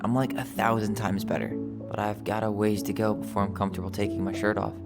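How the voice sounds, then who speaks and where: A man's voice: on the low side, level tone, a little fast, loud music behind the voice, close to an everyday voice. An actor playing a wry, guarded but sincere young man in a video game, with an American accent. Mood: reflective. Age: young adult. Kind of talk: naturalistic game dialogue.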